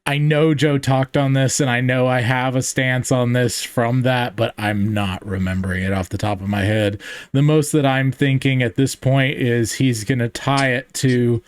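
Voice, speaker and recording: Man, podcast host, casual theology chat, rambling with fillers, dry close mic, middle pitch. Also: steady tone, normal speed, clean tone, no room sound. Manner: thinks aloud with many fillers and restarts.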